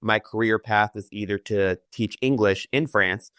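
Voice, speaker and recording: A young man from Southern California talks in an animated, conversational way. His voice is low and sits close to the mic, with a dry sound. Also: unhurried, smooth, no room sound. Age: young adult. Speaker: community college student.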